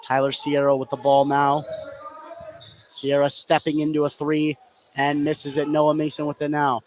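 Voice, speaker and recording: Guy doing live bball play by play, voice on the high side, lots of gym noise behind him. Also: normal speed. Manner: narrates action continuously, excitable on big plays. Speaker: play-by-play basketball commentator. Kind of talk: live radio sports commentary. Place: courtside in a sports gym during a game.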